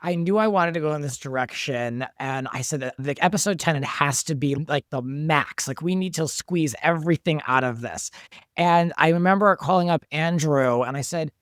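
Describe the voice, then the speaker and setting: A man's voice: on the high side, moderate pace, dry recording, clean studio sound. A man talking as an interview guest, a producer explaining his work in an informal, enthusiastic way. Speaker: guest, show creator and producer. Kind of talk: casual interview chat.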